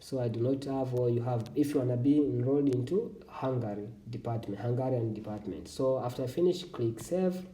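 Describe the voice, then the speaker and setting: A man from Tanzania whose first language is Swahili, hosting a walkthrough of a scholarship application form in halting, self-correcting English. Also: medium pitch, mellow, roomy sound, recorded from a distance. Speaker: scholarship tutorial host. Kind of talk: screen-recording walkthrough.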